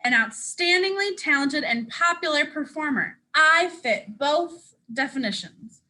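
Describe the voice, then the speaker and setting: Woman voicing a bright, boastful storybook sun. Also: high voice, expressive, measured pace, heavily acted, rough recording. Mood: grand, proud. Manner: theatrical, bragging, emphatic. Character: boastful, self-important sun, a cheeky storybook star. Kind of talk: children's picture book read-aloud.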